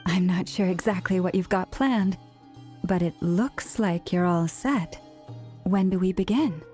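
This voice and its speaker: An actress voicing a steady, supportive young adventurer in a video game, plain-spoken and direct. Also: female voice, fairly low pitch, a little slow, soft background music, close to an everyday voice. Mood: calm, supportive.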